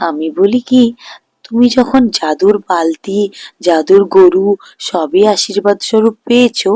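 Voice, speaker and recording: A woman's voice: mid-range voice, mellow, no room sound. Scheming fairy-tale milkman's wife, voiced by a woman: dry studio sound, nagging, scornful, money-minded. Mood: greedy, coaxing. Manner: coaxing, scornful. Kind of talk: Bengali fairy-tale cartoon voice acting.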